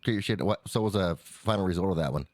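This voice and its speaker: A man in his forties hosting a casual podcast, asking quick, joking questions. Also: low voice, a little mellow, no echo. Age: 40s. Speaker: podcast host.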